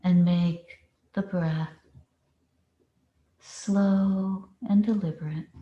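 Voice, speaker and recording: A woman meditation teacher leading a guided meditation with deliberate phrasing and long pauses. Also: lowish voice, fairly even intonation, dull audio. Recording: roomy, echoing space.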